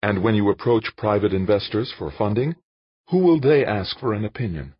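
An earnest, patient but firm woman of politics, put on by a male audiobook reader. The voice is lifted and lively, slightly rough, reasoning and pleading in a slightly dull recording. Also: on the low side, normal speed, dry recording, voice pushed up. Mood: warning.

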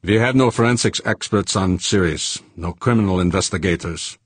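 A man voicing a dogged businessman in an audiobook, formal and deliberate, insistently pressing accusations. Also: sits low, breathy edge, no echo.

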